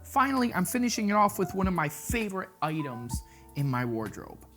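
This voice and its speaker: A man's voice: sits high, very animated, fairly quick, fairly bright tone, faint music behind. A man presenting a casual fashion vlog, chatty and playful, with jokey asides. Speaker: fashion vlogger. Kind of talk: casual style-tips vlog.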